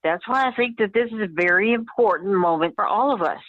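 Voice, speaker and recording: A woman playing an upbeat, polished city mayor in a radio play, speaking in measured political speeches. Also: medium pitch, phone-quality sound, lightly acted. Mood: earnest, rousing. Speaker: amateur actor in a community radio play.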